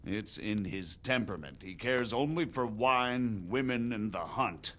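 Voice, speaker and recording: A man playing a stately, shrewd middle-aged nobleman in a game drama, speaking formally with deliberate emphasis. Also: lowish voice, expressive, a bit bright. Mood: grave, deliberate. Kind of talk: dramatic game cutscene acting.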